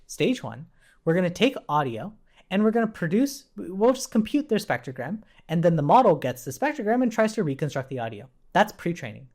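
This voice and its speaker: Animated man teaching code. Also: on the high side. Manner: explanatory, thinking aloud with repetitions and check-in tags. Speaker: programming instructor. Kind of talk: informal screen-share coding tutorial. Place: indoors at a computer with keyboard typing.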